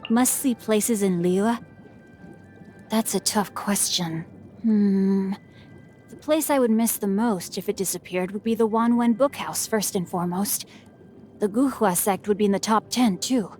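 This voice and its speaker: A woman's voice: mid-range voice, unhurried, a little mellow, lightly acted. A woman voicing a bookish, mischievous young noble boy in a video game, speaking in refined, formal phrases with a playful, teasing manner. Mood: wistful. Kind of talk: scripted game character voicelines. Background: background music.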